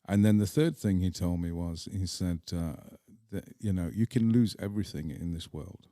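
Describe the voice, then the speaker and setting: A middle-aged man with an English accent shares memories in an interview. His voice is very low and relaxed, recorded close and dry. He speaks deliberately, setting phrases apart with pauses for emphasis. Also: dry recording. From England. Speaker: podcast guest. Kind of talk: reflective personal storytelling in an interview.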